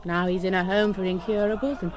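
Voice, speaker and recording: A woman's voice: mid-range voice, steady tone, quick pace, lightly acted. An actress playing a poor, hard-bitten young orchestra girl, desperate and pleading, in a radio drama. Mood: bitter.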